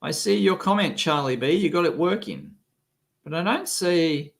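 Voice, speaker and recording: A man with a cold talks loosely on a live stream, his rough, sick-sounding voice swinging widely in pitch. He is exasperated and grumbling, and he swears freely at what annoys him. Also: expressive, moderate pace. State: has a cold, coughing. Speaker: electronics video host. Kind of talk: casual live stream chat.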